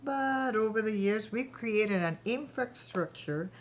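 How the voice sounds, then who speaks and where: An actress plays a self-assured woman who runs a small company. She is chatty and polished, with a businesslike, self-promoting way of talking. It has the feel of a staged workplace satire, in a mid-pitched voice. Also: lively intonation, a little slow, close to an everyday voice. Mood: proud, businesslike.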